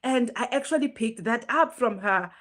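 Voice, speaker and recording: A woman hosting a casual motivational live stream, her voice hoarse and strained from illness as she pushes it, chatting earnestly and greeting viewers by name. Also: a little high, expressive, moderate pace. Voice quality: normally very loud voice, pushing it now.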